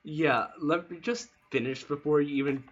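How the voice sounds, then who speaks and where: A man's voice: on the high side, moderate pace, dull audio, crowd noise. A man running a tabletop game as game master, explaining rules and narrating at length. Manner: explanatory, patient, talks through interruptions.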